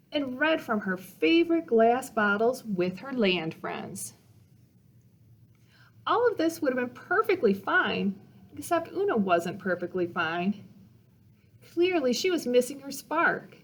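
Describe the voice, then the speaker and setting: A woman reading a children's picture book aloud as a storytime reader, with dramatic emphasis meant to engage young listeners. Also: sits high.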